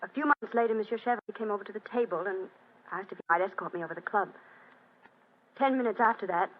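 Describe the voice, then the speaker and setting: A woman's voice: medium pitch, fast, slight background noise, natural voice. A woman playing a distressed young widow, formal and earnest, in 1940s radio style. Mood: guarded, composed. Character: distressed young wealthy widow under questioning. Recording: muffled vintage radio drama recording.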